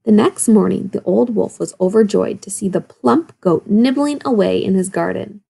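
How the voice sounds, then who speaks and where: Casting: female primary teacher for an expressive storybook read-aloud, medium pitch, creaky edge, instructive delivery. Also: normal speed. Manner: instructive, questioning asides to children. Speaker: second-grade teacher.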